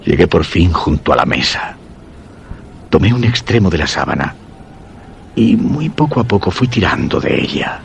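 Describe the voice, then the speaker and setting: An actor playing a weakened, dread-stricken husband narrating a macabre tale in grave, measured Castilian Spanish for a radio horror drama. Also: male voice, deep voice, big pitch swings, vocal fry, a little hiss or hum, exaggerated voice. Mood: fearful dread. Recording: dull radio sound with music underneath.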